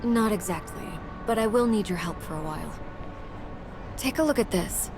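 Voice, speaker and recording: A woman voicing a composed, caring adult leader in video game dialogue, explaining things in a measured, thoughtful way. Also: medium pitch, animated, noisy background, close to an everyday voice. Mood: calm, thoughtful.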